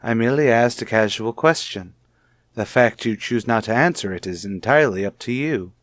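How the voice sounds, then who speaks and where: A man's voice: mid-range voice, moderate pace, fairly bright tone, no room sound, clean studio sound, close to an everyday voice. A man playing a suspicious husband in an old-time radio soap, speaking with formal, pointed politeness. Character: suspicious, coolly courteous husband, probing his wife.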